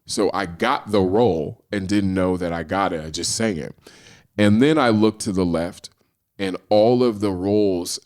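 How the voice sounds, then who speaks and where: A middle-aged man with a low voice, a podcast guest who tells his stories animatedly and acts out the dialogue. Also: darkish tone. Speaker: guest, screenwriter and actor. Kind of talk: casual storytelling in a podcast interview.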